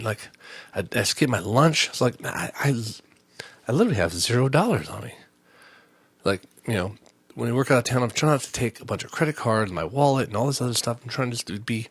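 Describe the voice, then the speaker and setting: A man on a comedy podcast, telling a long story about his day in an animated, exasperated way and acting out what each person said. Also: medium pitch, slightly rough, no echo. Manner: animated, exasperated retelling with quoted exchanges. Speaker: podcast host. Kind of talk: storytelling rant.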